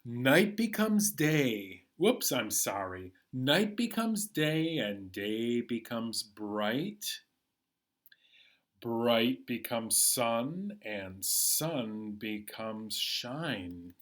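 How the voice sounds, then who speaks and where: A man hosting a children's storytime, reading a picture book aloud in a measured, singsong way. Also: medium pitch, sing-song, a bit bright. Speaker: children's storytime host at a library.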